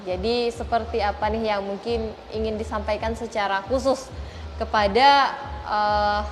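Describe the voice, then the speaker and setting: A woman's voice: medium pitch, steady tone, unhurried, soft background music. A woman hosting a podcast interview in a conversational style, phrasing questions hesitantly and often seeking agreement. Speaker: podcast host interviewing a guest.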